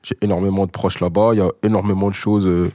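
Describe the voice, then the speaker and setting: A man's voice: low voice, little pitch movement, quick pace, warm tone, no echo. A young man from Congo, a rapper, answering interview questions in a laid-back, rambling way. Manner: laid-back, filler-heavy. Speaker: rapper guest.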